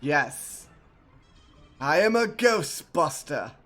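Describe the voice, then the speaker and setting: A man's voice: fairly high pitch, sing-song, drawn-out words, a bit bright, faint music behind. A man giving casual reaction commentary as a game streamer, with jokey muttered asides and repeated words.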